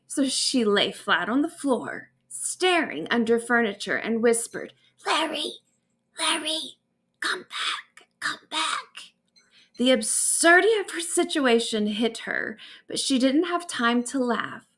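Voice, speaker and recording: A woman narrating a bedtime story aloud in an expressive storytelling manner. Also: fairly high pitch. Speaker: author reading own stories aloud.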